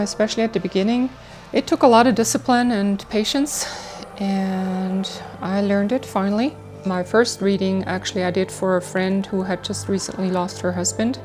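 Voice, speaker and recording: A woman from Switzerland, a medium calmly and steadily explaining her work in a documentary interview. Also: mid-range voice. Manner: calm, explanatory, measured. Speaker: automatic writing medium.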